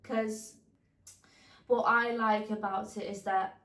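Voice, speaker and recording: A woman's voice: medium pitch, flat delivery, slow, a bit bright. A young woman chatting as a guest on a homemade podcast, taped in a bare, echoing room a step back from the mic. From southern Italy. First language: Italian. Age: young adult. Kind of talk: casual conversational interview. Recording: echoey room, distant microphone.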